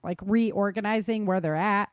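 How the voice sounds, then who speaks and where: A woman's voice: mid-range voice, steady tone, normal speed, clear, resonant tone, dry recording. A woman hosting a podcast in casual chat, talking in an informal, slangy and self-deprecating way. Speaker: podcast host.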